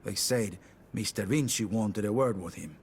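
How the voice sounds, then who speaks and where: A man's voice: lowish voice, moderate pace, a little hiss or hum, lightly acted. Brief: a man doing an Italian-accented game barkeeper, mild and concerned. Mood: gentle. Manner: gentle, concerned. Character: kindly older Italian male barkeeper.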